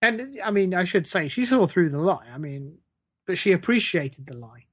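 A man's voice: high voice, expressive, normal speed, husky, no room sound. Man with a very dry, close sound. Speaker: podcast co-host. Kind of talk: casual comic storytelling.

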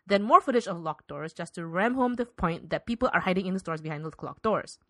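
A woman's voice: lowish voice, animated, a little fast, dry recording, clean studio sound. A woman reading scripted narration for a spoof radio play as its narrator. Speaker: podcast host and narrator.

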